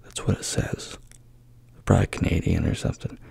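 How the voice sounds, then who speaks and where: A man gently reading a bedtime story in a soft-spoken ASMR style, often slipping into a whisper. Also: fairly quick, no room sound.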